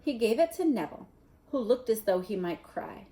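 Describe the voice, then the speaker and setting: A woman reading a children's novel aloud in an expressive narrating style. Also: a little high, moderate pace. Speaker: storybook reader.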